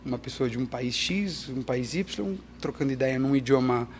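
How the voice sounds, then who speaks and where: A middle-aged missionary pastor, talking animatedly as a guest in an interview, with frequent restarts. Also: male voice, mid-range voice, normal speed, slight background noise. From Peru and Brazil. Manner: animated, emphatic, restarts often. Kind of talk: conversational interview.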